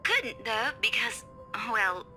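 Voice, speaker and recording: An actress playing a friendly, modest young schoolteacher, informal and a little flustered, in a visual novel game. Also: female voice, fairly high pitch, very animated, slow, bright, forceful tone, soft background music, full character voice.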